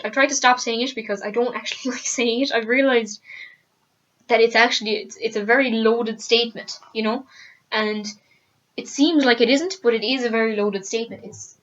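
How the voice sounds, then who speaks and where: A teenage Irish woman with a fairly high voice gives an earnest, rambling rant to camera. She restarts her sentences and leans on fillers as she talks. The sound is a little dull and lo-fi. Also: fairly bright tone. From Ireland. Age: teens. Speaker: student vlogger.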